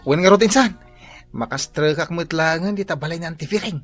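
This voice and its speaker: A woman voices a polite young male student and repairman in an Ilocano radio drama. The voice is low for a woman, with an Ilocano accent, over faint music. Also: a little fast, close to an everyday voice. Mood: casual.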